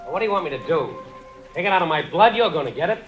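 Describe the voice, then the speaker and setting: A man's voice: on the high side, sing-song, quick pace, faint music behind. A man acting an evasive, irritable husband in a 1940s-style radio drama, curt and defensive. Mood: exasperated. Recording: muffled, lo-fi old radio recording.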